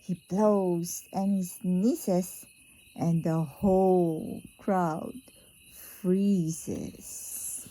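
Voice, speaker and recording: Female storybook reader for young children, mellow and very unhurried, expressive sing-song emphasis. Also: fairly low pitch, dry recording. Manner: drawn-out, sing-song emphasis on key words. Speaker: parent reading a bedtime picture book aloud to a young child.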